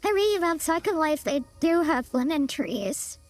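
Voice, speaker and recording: A woman's voice: high voice, very animated, moderate pace. A woman casually reacting to a video game as a streamer, exclaiming and swearing. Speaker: gaming streamer. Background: game audio.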